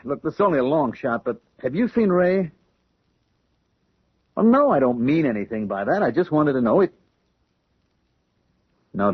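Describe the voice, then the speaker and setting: American man, vengeful obsessive, insistent and urgent, slightly rough voice, dry lo-fi vintage radio drama. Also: medium pitch, no echo. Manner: urgent, insistent, stammering under stress. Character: agitated, obsessed man bent on vengeful payback. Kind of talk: old-time radio drama acting. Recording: muffled vintage radio broadcast recording.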